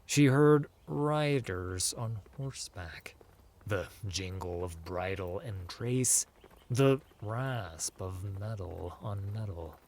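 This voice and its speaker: A man narrating a fantasy audiobook in a theatrical, measured storytelling style. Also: deep voice, darkish tone. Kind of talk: dramatic scripted fantasy narration.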